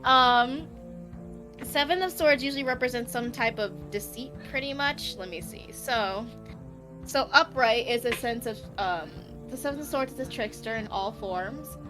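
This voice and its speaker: A young adult woman doing a casual live-stream tarot reading, speaking in a halting, giggly way with repeated filler phrases. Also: a little high. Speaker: tarot card reader. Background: background music.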